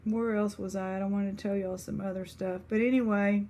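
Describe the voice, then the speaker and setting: A woman's voice: medium pitch, level tone, brisk, mellow. A woman with an East Texas accent chatting casually to her viewers as an online seller. She rambles, with lots of fillers and restarts. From East Texas, USA.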